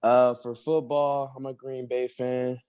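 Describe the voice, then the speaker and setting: A man's voice: mid-range voice, little pitch movement, measured pace, darkish tone, clear, resonant tone. A man appearing as a guest on a casual sports podcast, talking in a laid-back, blunt way.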